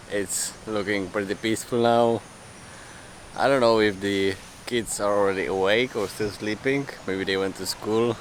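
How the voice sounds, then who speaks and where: A man talking casually and unscripted as a travel vlogger, haltingly thinking aloud as he goes. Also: fairly low pitch. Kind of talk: casual, unscripted vlog talk. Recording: noisy outdoor and in-car vlog audio.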